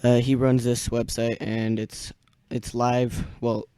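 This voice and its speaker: Young male radio net host, mellow but smooth, halting casual delivery, dry close sound. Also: mid-range voice, flat delivery, no room sound. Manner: halting, searching for words. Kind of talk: casual radio check-in chat. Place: small indoor radio room.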